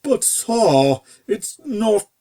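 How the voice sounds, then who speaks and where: A reader voicing a deferential, stately male pony butler in a storybook, speaking in a formal, measured and proper manner. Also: medium pitch, big pitch swings, mellow, voice pushed up. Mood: anxiously deferential. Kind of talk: storybook character dialogue.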